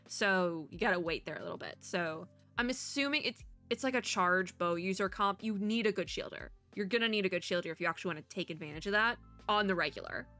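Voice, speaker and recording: Bright-voiced woman chatting through a game reaction. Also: mid-range voice. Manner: animated, rambling, thinking out loud. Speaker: VTuber reaction video host. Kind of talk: casual chatty game reaction commentary, part reading aloud.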